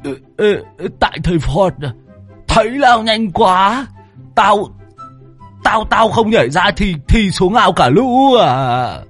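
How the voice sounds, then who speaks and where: A man voicing a cheeky, brash young male sidekick who stammers and talks hoarsely. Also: high voice, lively intonation, takes it slowly, a bit bright, faint music behind, voice pushed up, full character voice. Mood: defensive.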